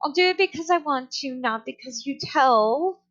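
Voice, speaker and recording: Woman doing a high-pitched, bossy storybook parrot. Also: expressive, normal speed. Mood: defiant. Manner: yelling, repetitive, mocking. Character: rude, bossy, squawking pet parrot, female. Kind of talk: children's storybook read-aloud. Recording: dull, lo-fi sound.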